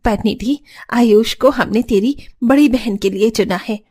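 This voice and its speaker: A woman voicing a gentle middle-aged mother in a cartoon family story, speaking in a measured, motherly way. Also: on the high side, husky, no echo. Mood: concerned.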